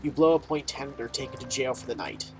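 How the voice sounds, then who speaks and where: A man's voice: medium pitch, fast talker. A male gaming host with a mid-range voice talks informally over faint music. He reads the game's text aloud and drops in casual, muttered comments as he plays. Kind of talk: casual let's play, reading game text and reacting. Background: game music.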